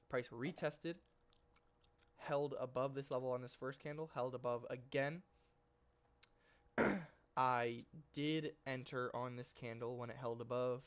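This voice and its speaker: A man with a stuffy nose from a cold, host of a trading channel, talking through his trades in a loose, rambling, slangy way that keeps correcting itself. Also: mid-range voice. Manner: slangy and informal, mild swearing. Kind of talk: casual screen-share trade recap.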